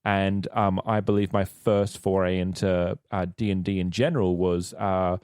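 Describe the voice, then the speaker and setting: Low-voiced Australian man chatting, dry sound. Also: level tone, moderate pace, darkish tone, clean tone, no echo. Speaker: actor and player on a tabletop role-playing podcast. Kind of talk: casual panel chat.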